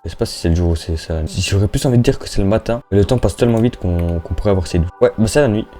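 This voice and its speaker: A man's voice: lowish voice, very fast, dark tone, faint music behind. A young man giving casual, slangy Minecraft let's-play commentary, chatting and thinking aloud as he plays. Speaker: gaming YouTuber.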